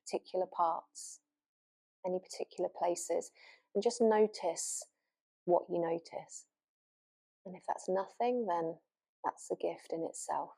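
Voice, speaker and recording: A woman yoga nidra teacher leading a guided relaxation meditation gently and without hurry, leaving long pauses. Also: fairly low pitch, fairly even intonation. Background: new-age music.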